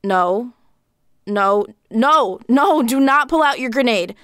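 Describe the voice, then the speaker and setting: A woman's voice: on the high side, lively intonation, slow pace, a bit bright, a little hiss or hum. A woman playing a sarcastic, bossy military training instructor in a video game, in a comic audio drama. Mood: exasperated, sarcastic. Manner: sardonic, bossy, condescending.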